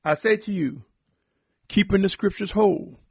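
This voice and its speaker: A man giving a solemn, sermon-like podcast reflection, exhorting deliberately with emphatic repetition in a husky, gravelly voice. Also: high voice, no echo, dull audio. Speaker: religious podcast host and preacher.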